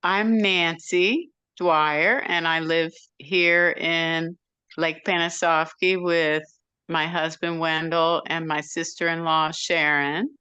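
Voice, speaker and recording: A woman's voice: low voice, level tone, takes it slowly, sharp tone, clear, resonant tone, dry recording, highs cut off. A woman introducing herself in a group meeting, chatty and friendly as she talks about her farm. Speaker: farm owner, meeting participant.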